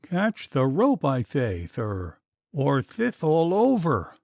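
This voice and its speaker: A man voicing a small, panicky young gentleman who lisps and is out of breath. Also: on the high side, huge pitch swings, slow, gravelly, no room sound, voice pushed up, full character voice. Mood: frantic. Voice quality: lisping. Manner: frantic, pleading.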